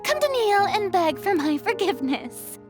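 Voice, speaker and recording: A woman voicing a proud yet fragile young goddess in an English game dub, theatrical and halting in delivery. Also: very high voice, big pitch swings, over music. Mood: haughty. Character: dramatic, proud young female god hiding fragile despair.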